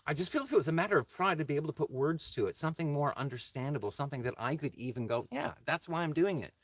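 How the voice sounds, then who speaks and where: A man chatting casually to livestream viewers as he works on a craft, thinking aloud in a halting, reflective way and answering their comments. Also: fairly high pitch.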